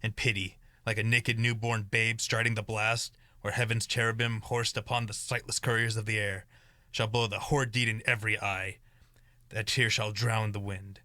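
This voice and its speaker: A young man plays a conflicted, ambitious lord in a Shakespeare radio drama. The voice is fairly low with a forceful edge, declaiming verse earnestly. It is recorded very dry. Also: flat delivery, moderate pace, no room sound. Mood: brooding, conflicted. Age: young adult. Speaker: student actor. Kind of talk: amateur Shakespearean radio play acting.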